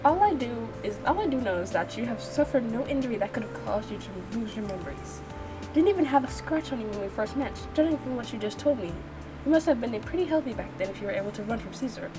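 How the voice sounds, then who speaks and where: A woman voicing a blunt doctor in a visual novel game, speaking in a dry, measured, formal manner. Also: a little high, expressive, music in the background, natural voice, rough recording. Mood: blunt, apologetic. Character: blunt, dry adult doctor, politely exasperated. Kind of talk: visual novel game dialogue read aloud.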